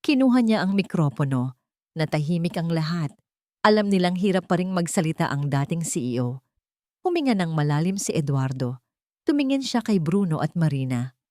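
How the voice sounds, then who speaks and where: A woman storyteller with a slightly low voice narrates a scripted love story in a dramatic style. The recording is very dry, with no room sound. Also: very animated, moderate pace, a little mellow, no room sound.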